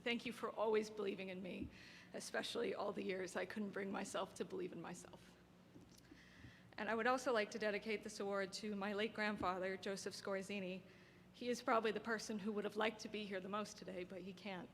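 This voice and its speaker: A soft-spoken young woman giving an earnest, heartfelt graduation speech as an award recipient, at a podium microphone. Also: mid-range voice, steady tone, a little fast, creaky. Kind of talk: formal prepared speech. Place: ceremony hall. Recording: long hall reverb, faint background noise.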